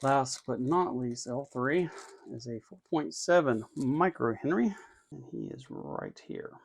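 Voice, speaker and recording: A man narrating a hands-on electronics build casually, thinking aloud in an unhurried way and muttering to himself as he works. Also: mid-range voice, sing-song, creaky, husky. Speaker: electronics hobbyist host.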